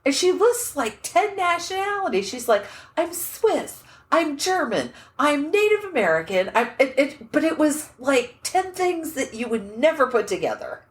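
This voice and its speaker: Lively, animated woman telling a story. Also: high-pitched, normal speed, hardly any pauses. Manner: animated storytelling, laughs often. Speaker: podcast co-host. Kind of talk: casual chat. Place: room at a writers' conference.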